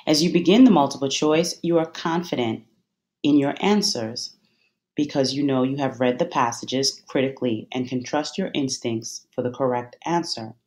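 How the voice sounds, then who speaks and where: A meditation guide with a medium-pitched voice speaks in a soothing, instructional way. Phrases are measured and set apart by deliberate pauses, in the manner of a guided visualization. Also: darkish tone.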